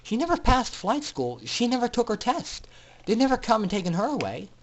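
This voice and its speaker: A man's voice: very high voice, huge pitch swings, voice pushed up, heavily acted, low-quality recording. A man voicing an earnest, determined young female pegasus pony with an emotional delivery, in a storybook reading. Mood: hopeful, earnest. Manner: tearful, earnest, halting under emotion.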